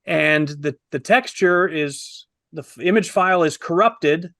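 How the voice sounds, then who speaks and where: A man in his fifties telling a story in a casual, animated review on a video call, wordy and posing himself questions. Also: a little high, measured pace, no echo, clean studio sound. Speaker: film review panelist.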